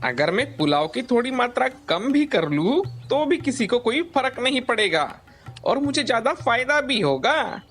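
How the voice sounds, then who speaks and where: A man's voice: very high voice, very animated, normal speed, fairly bright tone, soft background music, heavily acted. Manav, a man voicing a greedy rival seller. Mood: scheming. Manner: scheming, pleading. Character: greedy jealous adult male rival seller. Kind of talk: cartoon voice acting.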